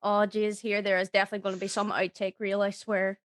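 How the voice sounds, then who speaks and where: A woman's voice: medium pitch, flat delivery, quick pace, no echo, clean studio sound. Informal woman. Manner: informal, dropped Ts. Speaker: voice actor, podcast guest. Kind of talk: casual chat.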